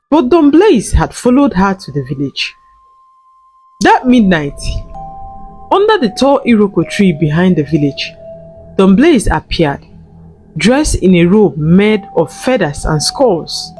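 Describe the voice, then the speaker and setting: A woman storyteller narrating a scripted folktale in a dramatic, deliberate manner. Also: medium pitch, darkish tone.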